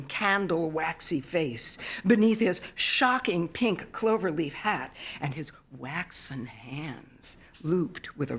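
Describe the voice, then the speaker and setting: Slow, measured woman reading memoir, lower-pitched. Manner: measured, expressive, solemn storytelling. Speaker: author reading own work. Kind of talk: literary memoir reading at live event. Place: theater stage, live reading.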